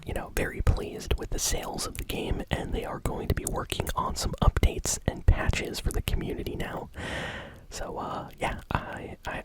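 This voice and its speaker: Soft whispered ASMR gaming news host. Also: rough recording. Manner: casual, conversational, rambling.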